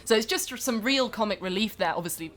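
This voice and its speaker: A woman as a comedy podcast guest, giving earnest, deadpan explanations in a mock celebrity interview. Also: mid-range voice, quick pace, a little hiss or hum. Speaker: comic interview guest playing an actress.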